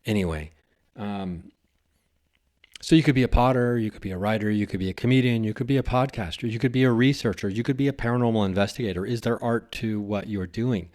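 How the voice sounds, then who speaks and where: A man hosting a podcast in a casual, reflective monologue, musing haltingly and stretching out phrases for emphasis. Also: fairly low pitch, darkish tone. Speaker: podcast host and stand-up comedian.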